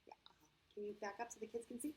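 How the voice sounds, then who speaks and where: A woman hosting a story time for young children, talking in simple, patient, expressive phrases with frequent questions. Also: medium pitch, fast. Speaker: children's church story host.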